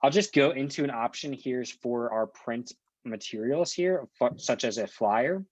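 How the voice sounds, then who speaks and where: A man working as a software trainer, talking through an on-screen walkthrough in an informal, explanatory and reassuring way. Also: medium pitch, a little slow, no room sound.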